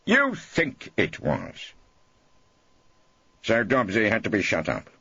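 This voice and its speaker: A man's voice: fairly low pitch, wildly swooping pitch, slow, creaky, bright, forceful tone, husky, highs cut off, voice pushed up, exaggerated voice. A man voicing a combative, irreverent old English barrister in an audiobook, theatrical and relentless, with sardonic cross-examining. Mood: hectoring. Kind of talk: audiobook character acting.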